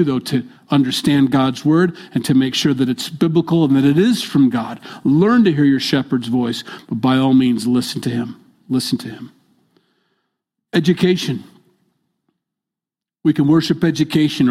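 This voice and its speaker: An older man preaching a midweek sermon in a church, stressing his points by repeating them and moving between loud and soft delivery. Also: medium pitch. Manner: emphatic, repeats key phrases, swings between loud and soft. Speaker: pastor.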